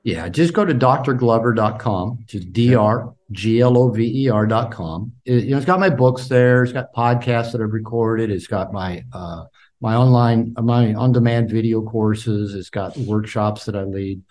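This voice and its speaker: A man's voice: on the low side, moderate pace, a little mellow. A man in his sixties, a podcast guest and author, talking casually and often repeating words as he starts sentences. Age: 60s. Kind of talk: casual interview talk. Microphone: call.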